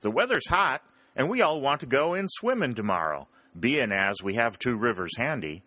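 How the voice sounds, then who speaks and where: A man's voice: big pitch swings, normal speed, no echo. A man voicing a tall, youngish, boastful Southern soldier with a Mississippi accent and a folksy drawl. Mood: teasing. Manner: folksy drawl, higher and louder than narration.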